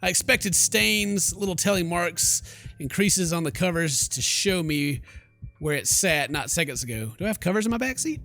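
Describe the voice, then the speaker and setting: Man, let's play commentary, bright tone, a little high-pitched, reading aloud, joking theatrical asides. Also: animated, moderate pace, gravelly, soft background music. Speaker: gaming YouTuber.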